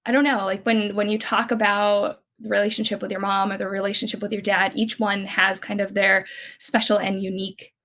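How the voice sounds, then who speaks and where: A woman's voice: medium pitch, flat delivery, a little fast, fairly bright tone. A woman in her thirties from California, a podcast guest telling family stories in a chatty, rambling way. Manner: chatty, many restarts and fillers, laughs easily. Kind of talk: casual conversational storytelling.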